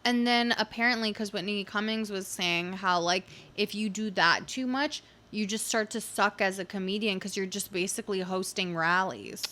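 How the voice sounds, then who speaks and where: A young woman talks in a loose, conversational way, her sentences full of "like" and quick asides. Her voice has a bright, forward edge to it. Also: medium pitch. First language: not English. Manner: chatty, filler-heavy with frequent "like". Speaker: podcast guest, comedian. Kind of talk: casual chat.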